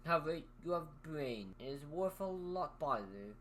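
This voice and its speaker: A woman voicing a thoughtful male scarecrow in a low, hushed, breathy tone. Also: lively intonation, a little slow, slight background noise. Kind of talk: storybook reading.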